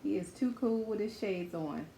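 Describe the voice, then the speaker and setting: A woman's voice: mid-range voice, moderate pace, creaky, mellow, slight background noise, poor audio. A woman reading a children's book aloud, adding simple, encouraging asides spoken directly to her young listeners. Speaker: children's story-time host reading a picture book aloud.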